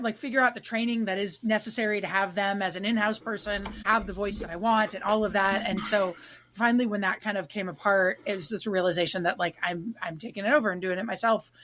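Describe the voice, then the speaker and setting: A woman's voice: medium pitch, a bit bright. A woman co-hosting a casual podcast, a bakery owner who talks thoughtfully and searches for her words with fillers and restarts.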